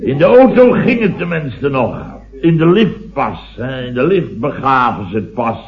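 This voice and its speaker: An actor playing a weary, long-winded company boss who blusters and rambles in a radio comedy. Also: male voice, mid-range voice, animated, measured pace. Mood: groggy, muddled. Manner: blustering, rambling, tagging phrases with "hè". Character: sleepy, grumbling, long-winded married male company boss. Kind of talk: 1970s radio comedy acting. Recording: dull old radio recording, studio audience.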